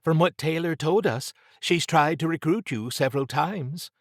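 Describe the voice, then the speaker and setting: Guy doing a sassy sharp lady investigator, pitched up, brisk n knowing, audiobook, no room echo. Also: studio quality, natural voice. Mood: amused, teasing. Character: sharp, teasing young woman investigator. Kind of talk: audiobook character acting.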